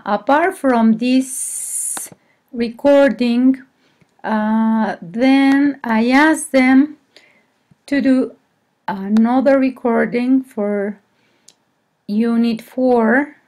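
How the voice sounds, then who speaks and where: A woman teacher with a Mexican accent, presenting to colleagues in a hesitant, halting way and often correcting herself. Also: fairly high pitch, darkish tone. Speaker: English teacher. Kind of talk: screen-recorded presentation to colleagues.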